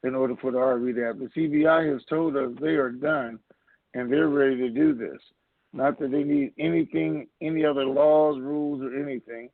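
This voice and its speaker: A man's voice: medium pitch, steady tone, brisk, vocal fry, dry recording. A man hosting an informal question-and-answer conference call over the telephone. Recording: muffled narrowband phone line.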